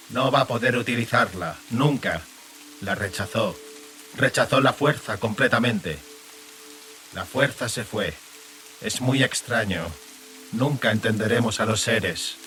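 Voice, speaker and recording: A man's voice: medium pitch, bright, forceful tone. A man voicing cold, detached unicorns in an audiobook drama, making clipped, impersonal pronouncements.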